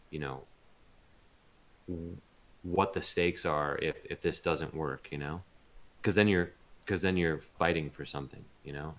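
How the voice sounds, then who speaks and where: Deep-voiced, halting man in interview. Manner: halting, thoughtful, searching for words. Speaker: voice actor being interviewed. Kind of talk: casual interview chat.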